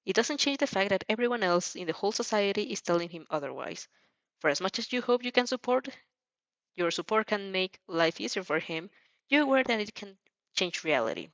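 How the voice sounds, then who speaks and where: A woman reading a story aloud as an expressive amateur narrator, sometimes stumbling and rereading phrases. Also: medium pitch, fairly quick, no room sound, highs cut off. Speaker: fan fiction narrator.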